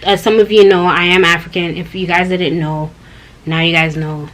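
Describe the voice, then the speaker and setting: A young woman vlogging in a loose, rambling way, addressing viewers directly. Her voice sits a little low for a woman. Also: steady tone, normal speed, rough recording. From Canada. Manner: rambling, chatty, talks straight to viewers. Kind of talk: casual personal vlog talk.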